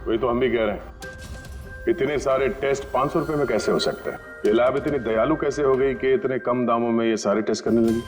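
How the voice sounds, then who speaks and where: A stern police detective played by a man in a TV crime drama, speaking at a medium pitch over prominent background music. He is sarcastic and presses suspects with pointed questions. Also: fairly even intonation, a little fast, lightly acted. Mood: sarcastic, threatening. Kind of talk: Hindi police procedural dialogue.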